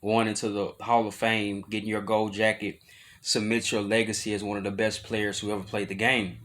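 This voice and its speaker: A man talks straight to his viewers in a loose, unscripted way. He circles around his thoughts with lots of fillers and restarts, then lifts his voice louder and higher when he leans into a point. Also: level tone, moderate pace. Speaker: vlogger. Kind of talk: casual talk to camera.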